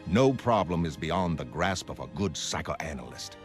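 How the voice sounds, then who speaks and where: A man playing an earnest, self-assured psychoanalyst in a motion comic, speaking in a measured, clinical way. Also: rumbling low, very animated, music in the background. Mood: clinical, optimistic. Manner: measured, clinical, gently coaxing with patient. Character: earnest, self-assured male psychoanalyst dictating case notes. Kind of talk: scripted dramatic narration of a motion comic.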